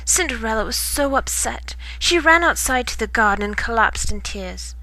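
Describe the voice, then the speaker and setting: A woman reading a children's bedtime story in expressive storybook narration. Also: a little high, normal speed, poor audio.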